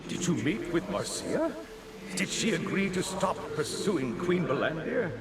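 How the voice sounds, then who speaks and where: A man's voice: high-pitched, sing-song, a little slow, heavy background noise, full character voice. A man playing a worried, protective middle-aged father who frets aloud to himself in a video game. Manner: fretful, musing to himself. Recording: loud music behind the voice. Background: loud game music.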